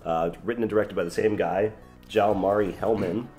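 A young man co-hosting a relaxed, conversational movie review indoors, with faint music behind him. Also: lowish voice, brisk. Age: young adult. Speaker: film review co-host. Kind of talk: casual movie review chat. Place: small indoor room with shelves of discs.

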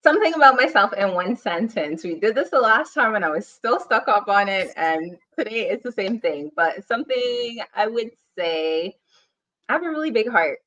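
A woman's voice: mid-range voice, expressive, moderate pace, fairly bright tone, highs cut off. A young woman with a Guyanese accent, chatting as a podcast guest over a video call, laughing easily and rambling with fillers. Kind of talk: casual interview chat. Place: home room, indoors.

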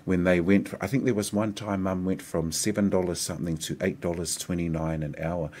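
A man's voice: low voice, brisk. A man, a political candidate answering interview questions earnestly in a small studio at a desk microphone. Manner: earnest, persuasive, animated hand gestures. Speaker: mayoral candidate guest. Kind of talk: conversational interview. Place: small studio with decorated backdrop.

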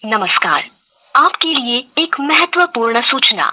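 Woman, recorded phone announcement, high pitch, very sharp bright tone, heard through phone speaker. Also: big pitch swings, measured pace, poor audio. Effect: played through phone speaker.

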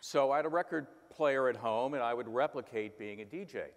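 A man's voice: medium pitch, fairly quick. A man in his sixties from Philadelphia, a sports announcer, telling stories on stage and breaking into bits of play-by-play. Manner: storytelling with bursts of play-by-play imitation. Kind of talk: on-stage conversation. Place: large conference hall stage. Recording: hall reverb.

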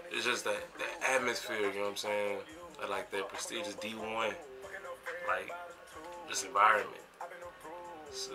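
An American man in his twenties reflecting casually for a vlog, talking in a slangy, emphatic, conversational way. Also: lowish voice. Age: 20s. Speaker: vlogger and college basketball player. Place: small bedroom, indoors. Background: music.

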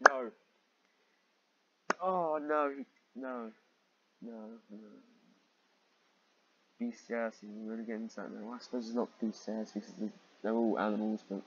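A man's voice: mid-range voice, muffled recording, a little hiss or hum. A man reading amateur fiction aloud with sarcastic commentary and exasperated, incredulous asides.

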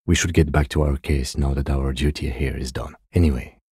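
An actor playing a composed, dry police detective in a video game, speaking in a measured, restrained, matter-of-fact way with a soft husky edge. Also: male voice, rumbling low, steady tone, no echo, full character voice. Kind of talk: video game dialogue acting.

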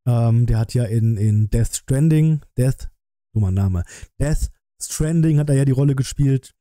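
A man in his thirties talks casually on a live stream, musing out loud in colloquial phrases. His voice is strikingly bright and crisp-edged, at a middling pitch. The sound is dry and close. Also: measured pace, no room sound. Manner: musing, colloquial, thinking aloud. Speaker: streamer reacting to a game trailer.

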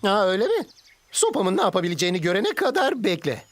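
A woman dubbing a goofy, excitable young cartoon rodent who talks in a silly, dramatic way. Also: mid-range voice, quick pace, lightly acted. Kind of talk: Turkish cartoon dub. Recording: music behind.

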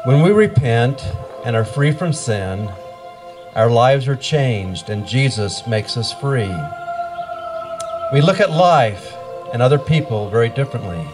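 A man's voice: mid-range voice, animated, unhurried. A middle-aged man reading scripted scripture narration into a handheld microphone at an outdoor pageant. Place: outdoor wooded stage. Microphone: handheld microphone through PA. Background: music playing.

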